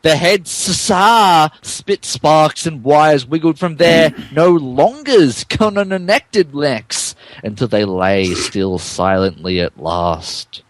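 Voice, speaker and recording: A man's voice: a little high, takes it slowly, few pauses, dry recording. A man narrating a mock-dramatic podcast reading of wrestling fan fiction, stressing garbled typos as written.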